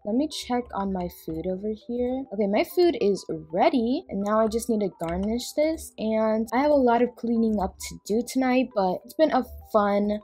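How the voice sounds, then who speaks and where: Brief: bubbly roleplay host playing a scolding mother, somewhat high voice. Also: normal speed, few pauses, dark tone, faint music behind. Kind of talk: voiced game roleplay narration.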